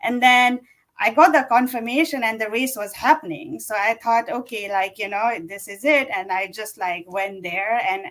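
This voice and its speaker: A woman's voice: fairly high pitch, moderate pace, fairly bright tone, poor audio. Woman in her forties, rambling chat. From Nepal. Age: 40s. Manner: rambling, many fillers and restarts. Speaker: guest runner. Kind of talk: casual interview chat.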